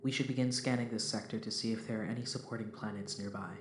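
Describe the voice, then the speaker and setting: A man playing a calm, polite robot crew member in a science fiction audio drama, speaking formally and deliberately. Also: lowish voice, close to an everyday voice. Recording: roomy echo, faint noise.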